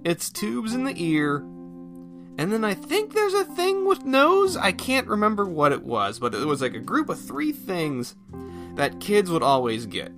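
A man's voice: sits high, huge pitch swings, a little slow, music in the background. A man talking casually on his own as a podcast host, thinking aloud in a folksy, self-effacing way. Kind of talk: casual solo rambling chat.

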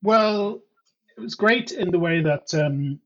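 An Irish-born man recalls his past at an easy pace, pausing often to think. His voice is slightly high and husky, and the recording sounds dull and lo-fi. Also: expressive, a bit bright. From Ireland. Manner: unhurried, many ums and uhs, reflective storytelling. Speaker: interview guest. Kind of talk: casual conversational interview.

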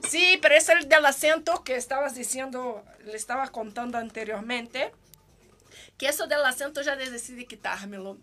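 A woman's voice: high-pitched, moderate pace, bright, forceful tone. A woman from Brazil with a Brazilian Portuguese accent, hosting a playful, joking casual chat. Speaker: host.

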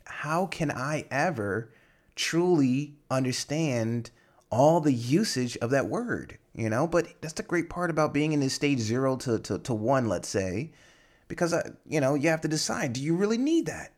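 A man's voice: mid-range voice, animated, moderate pace. A young man hosting a solo podcast, talking casually and rambling with many fillers and restarts. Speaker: podcast host.

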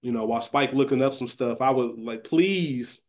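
A man's voice: medium pitch, moderate pace, darkish tone. A man co-hosting a podcast, chatting informally and conversationally. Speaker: podcast co-host. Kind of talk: casual banter about a TV series fantasy draft.

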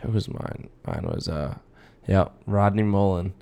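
A young adult American man with a fairly low-pitched voice. He talks informally as a podcast co-host, in loose, slangy language, often saying dude and bro. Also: measured pace, vocal fry, dark tone, smooth. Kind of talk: casual chat.

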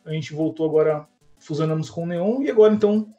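A man chatting casually as a podcast guest, relaxed and slangy, often tagging his sentences with filler words. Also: on the high side, quick pace. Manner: relaxed, slangy, fills with "né, cara". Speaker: podcast guest, tech lead. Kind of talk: casual chat. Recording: dull lo-fi sound with faint music.

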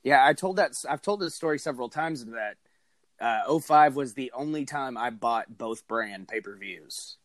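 A man chatting on a podcast in a candid, storytelling way with dry jokes. His voice sounds a little strained, it sits at a middle pitch, and the recording is close and dry. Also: animated, normal speed, no echo. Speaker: podcast co-host. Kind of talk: casual chat about wrestling.

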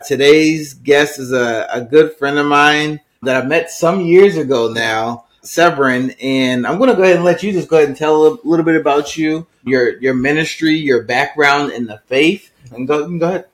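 A man hosting a faith podcast, praying in an earnest, steady cadence and introducing his guest. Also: a little high, normal speed, hardly any pauses. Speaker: podcast host. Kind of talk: spoken prayer and show intro.

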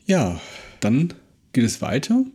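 A man's voice: mid-range voice, huge pitch swings, slow pace, warm tone, breathy edge. A man co-hosting a casual discussion, retelling and explaining a story in a conversational way. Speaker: podcast co-host.

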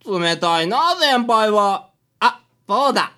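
A woman's voice: mid-range voice, big pitch swings, slow, pushed and bright, heavily acted. A woman playing a hapless, eager young man who wants to be a detective, polite and easily flustered, in a radio drama. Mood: whiny protest.

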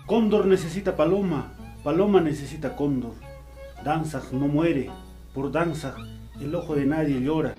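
A man acts a devoted village fiddler with a seer's certainty in a radio drama. The voice is soft-edged and solemnly declaimed, with music faintly behind it. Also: fairly high pitch, moderate pace, natural voice. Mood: solemn, assured. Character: male village violinist, devoted, solemn seer.

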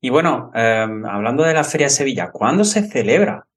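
A man from Andalusia with a Spanish accent from Spain, hosting a language-learning podcast and speaking in a clear, instructional way. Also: mid-range voice, big pitch swings, normal speed. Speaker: podcast host and language teacher. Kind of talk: scripted podcast introduction and interviewing.

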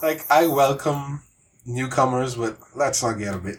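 A man's voice: mid-range voice, unhurried, crowd noise. A man co-hosting a podcast, talking informally and expressively about gaming news, often acting out reactions. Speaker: podcast co-host. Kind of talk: casual chat about video game news.

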